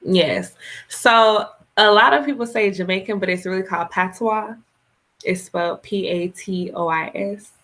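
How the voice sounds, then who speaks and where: A woman from Florida, a guest model on a live podcast over a video call, talking in an easygoing, relaxed way. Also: mid-range voice, normal speed. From Tallahassee, Florida. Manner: easygoing, laughs often. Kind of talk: casual interview chat.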